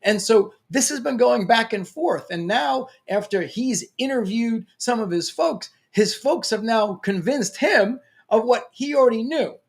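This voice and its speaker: Lively New York man giving a pep talk. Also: very high-pitched, unhurried, vocal fry, hardly any pauses. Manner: energetic, emphatic, playful. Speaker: LinkedIn coach. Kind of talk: motivational talk to camera.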